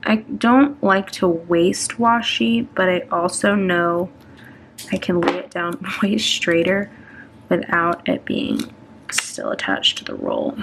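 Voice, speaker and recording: Slow, gravelly-voiced young woman rambling nervously. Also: lowish voice, animated, a bit bright, a little hiss or hum, rough recording. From Atlanta, Georgia, US. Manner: rambling, self-conscious, many ums and restarts. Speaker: planner vlogger. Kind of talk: chatty plan-with-me voiceover while decorating a planner.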